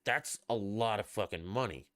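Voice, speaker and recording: A man's voice: fairly low pitch, moderate pace, fairly bright tone. A man hosting a podcast on his own, rambling and ranting with plenty of swearing. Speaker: podcast host. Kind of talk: casual solo podcast chat.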